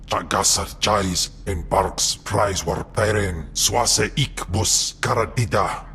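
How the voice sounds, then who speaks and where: Forceful, slow-speaking alien. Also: male voice, on the low side, a little hiss or hum. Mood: aggressive. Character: aggressive alien speaking an invented tongue.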